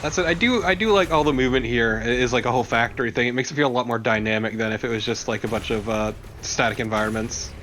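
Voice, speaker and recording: A man's voice: mid-range voice, fast, hardly any pauses, a bit bright, a little hiss or hum. A man, a young adult, chatting casually as co-commentator over gameplay, conversational and swearing freely. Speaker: co-commentator on a let's play.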